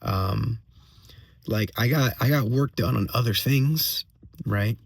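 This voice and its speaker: A man with a slightly hoarse voice giving a casual personal update as a vlogger, venting in a halting way, repeating phrases and checking in with tag questions. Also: mid-range voice, dry recording, studio quality. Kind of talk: casual solo monologue, venting.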